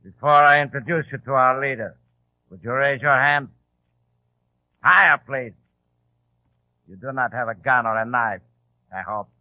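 A male actor plays a formal, menacing bodyguard in an old radio thriller. The delivery is deliberate and ominously polite, at a medium pitch. The sound is narrow and tinny. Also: close to an everyday voice. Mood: menacingly polite. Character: sinister, formal, disfigured male henchman and bodyguard. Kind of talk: 1940s radio acting. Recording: tinny, band-limited old radio broadcast.

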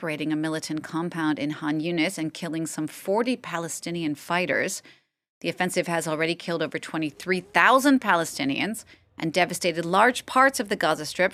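A woman's voice: fairly low pitch, fairly bright tone. A woman presenting scripted news as an anchor.

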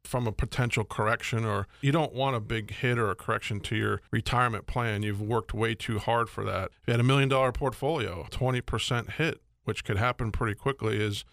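A man's voice: medium pitch, steady tone, a little fast, creaky, few pauses, fairly bright tone, dry recording, clean studio sound. A middle-aged man hosting a radio show on money and retirement, chatty and upbeat, slipping jokes in between the advice. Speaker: radio show host, financial advisor. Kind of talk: casual radio talk. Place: radio studio.

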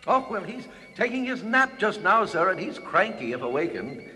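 A man's voice: high voice, very animated, normal speed, sharp tone, faint music behind, exaggerated voice. An actor in a radio play playing a fussy, unflappable male scientist, mild and precise in manner. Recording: long reverberant tail on the voice.

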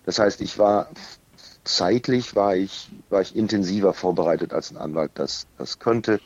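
A man with a low, husky voice speaks as a guest in a casual conversation. He is measured and wryly understated, and the sound is dry, without room echo. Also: highs cut off. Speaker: interview guest.

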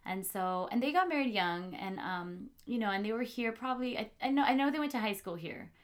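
A woman's voice: fairly high pitch, lively intonation, brisk. A woman hosting a casual podcast conversation. She talks in a chatty way, with plenty of fillers and laughs. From Los Angeles, US. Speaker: podcast host.

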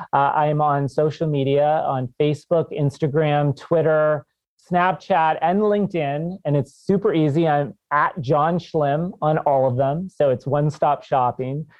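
A man's voice: on the high side, no echo. Cheerful fifty-year-old man, slightly muffled. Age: 50s. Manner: enthusiastic, laughs often. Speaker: guest author. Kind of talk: podcast interview.

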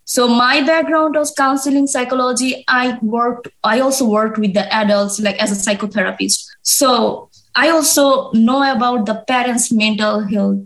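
Eager higher-voiced woman. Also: fairly even intonation, moderate pace, few pauses. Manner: eager, loosely phrased non-native English. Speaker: guest, psychology fellow. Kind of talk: interview answer.

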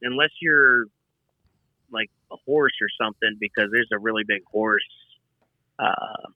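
A man as a podcast guest, chatting casually in a relaxed, rambling way with many fillers. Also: fairly low pitch, a little slow, phone-quality sound. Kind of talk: casual chat.

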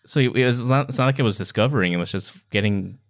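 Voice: mid-range voice, quick pace, no echo, studio quality. A podcast co-host talking casually and playfully in an informal book discussion.

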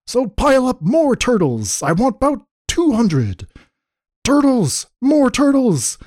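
A man's voice: fairly high pitch, big pitch swings, a little slow, a little mellow, no echo, clean studio sound, exaggerated voice. A man voicing a boastful, bossy turtle king from a children's storybook, with a pompous, bellowing delivery. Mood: imperious. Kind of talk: animated children's storybook reading.

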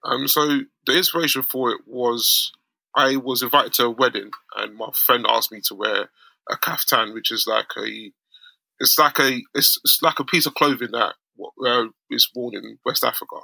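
A man from the UK, a guest on a podcast, explaining things in a plain, measured way. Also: medium pitch, steady tone, creaky, sharp tone, no echo, low-quality recording. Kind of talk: casual interview chat.

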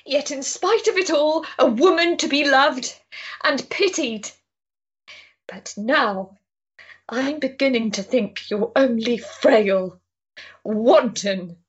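Actress as a forthright higher-voiced gentlewoman. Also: takes it slowly, highs cut off. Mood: challenging, pitying. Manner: direct, firm. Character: forthright, compassionate, brisk gentlewoman. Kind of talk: period audio drama acting.

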